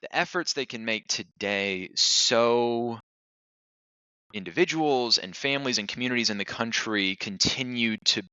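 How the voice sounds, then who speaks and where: Male podcast guest, low and very forceful tone, thoughtful casual delivery, dry close recording. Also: unhurried, smooth, no room sound, lo-fi sound. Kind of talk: casual, reflective interview talk.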